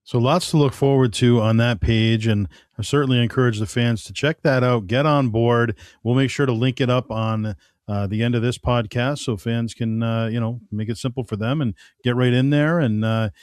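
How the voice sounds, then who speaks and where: A man's voice: medium pitch, steady tone, normal speed, hardly any pauses, a little mellow, smooth, no room sound. A male podcast host leads an interview in an upbeat, encouraging way. His voice is close and dry, with no room sound. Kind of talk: interview hosting.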